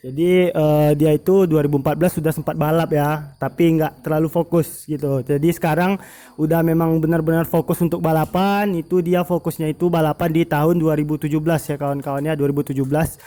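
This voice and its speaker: Man, motovlog host, slightly high pitch, casual interview, chatty with fillers. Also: flat delivery, brisk, dark tone. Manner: chatty, frequent fillers, keeps addressing viewers as friends. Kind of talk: casual interview, addressing viewers.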